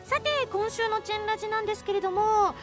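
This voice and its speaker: Woman radio host, high-pitched, quick, light chat and announcements, music underneath.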